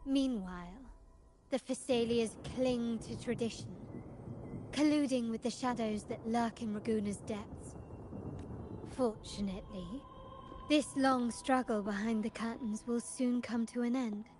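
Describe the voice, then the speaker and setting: A woman playing a poised, cultured and enigmatic young lady in a video game, speaking formally and with measured eloquence. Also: fairly high pitch, fairly even intonation, darkish tone, soft background music, lightly acted. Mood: measured, musing. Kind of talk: scripted video game dialogue.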